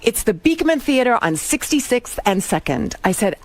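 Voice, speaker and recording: A woman's voice: a little high, big pitch swings, normal speed, no echo. A woman in her fifties, a guest actress in a radio interview, telling her story in an earnest, passionate way. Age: 50s. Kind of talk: studio interview.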